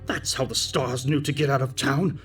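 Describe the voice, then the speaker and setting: A man's voice: mid-range voice, fairly even intonation, moderate pace, fairly bright tone, faint music behind, close to an everyday voice. A man playing an unhinged, furious and self-pitying corrupt older man, snarling with theatrical menace in an audio drama. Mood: paranoid anger. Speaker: voice actor in a fan audio drama. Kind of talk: dramatic villain acting.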